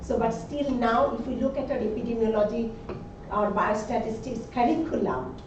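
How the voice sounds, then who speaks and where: Loud, higher-voiced woman asking from the floor. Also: steady tone, noisy background. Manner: loud, deliberate, polite request. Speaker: audience member asking a question. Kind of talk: conference question from the floor. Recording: echoey, dull hall sound from far off.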